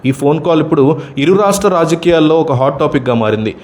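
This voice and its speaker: Fast-talking, higher-pitched male news narrator. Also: animated, a little mellow. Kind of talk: scripted news voice-over commentary.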